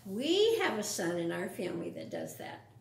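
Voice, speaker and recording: A woman's voice: medium pitch, very animated, normal speed, a little mellow, echoey room, slight background noise. A woman reading a picture book aloud to young children, adding casual explanations and questions. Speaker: storytime reader.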